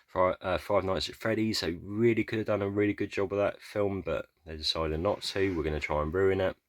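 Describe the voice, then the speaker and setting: British man, very low voice, hoarse, rambling casual vlog talk, frequent throat-clearing, dead dry sound. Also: steady tone, fairly quick, no echo. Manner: rambling, hesitant, many fillers and throat-clearing. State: going hoarse, losing his voice. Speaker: collector showing his film shelves. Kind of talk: casual room tour vlog.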